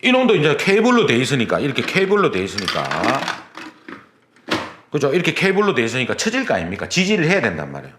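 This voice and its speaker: A man's voice: mid-range voice, huge pitch swings, fast. A man teaching an exam prep lecture, repeating key terms and talking through demonstrations. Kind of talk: recorded lecture. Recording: roomy lecture recording, faint noise.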